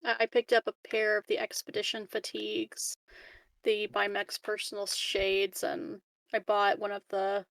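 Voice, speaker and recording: A woman's voice: mid-range voice, completely flat delivery, moderate pace, gravelly, a bit bright, no room sound, low-quality recording. A woman chatting casually as a player in a tabletop roleplaying game. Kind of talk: casual chat.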